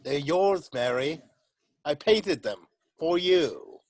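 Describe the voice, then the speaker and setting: A man playing a gentle, wistful, fond admirer in an amateur script read-through. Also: fairly high pitch, lively intonation, takes it slowly, dry recording.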